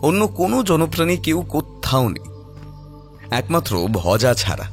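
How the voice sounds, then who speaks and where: Male storyteller for horror narration, expressive and lively in pitch, faint music underneath. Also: moderate pace.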